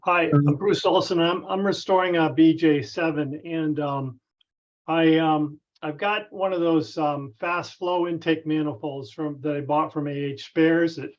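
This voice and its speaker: A man with a slightly high voice talks over a dull, lo-fi conference connection. He explains a technical matter casually, haltingly and tentatively, circling back as he goes. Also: fairly even intonation. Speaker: club member asking a technical question. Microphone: conference call connection. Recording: dull, band-limited conference call audio.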